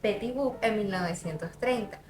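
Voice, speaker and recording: A woman's voice: medium pitch, normal speed, darkish tone. A young woman reading a scripted documentary narration as a student narrator. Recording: roomy voice-over with music bed.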